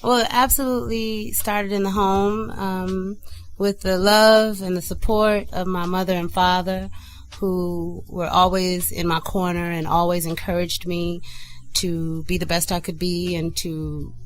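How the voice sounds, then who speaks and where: Animated woman guest telling stories. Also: fairly low pitch, measured pace. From Flint, Michigan. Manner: animated storyteller, acts out quoted dialogue. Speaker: guest singer. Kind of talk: casual interview chat.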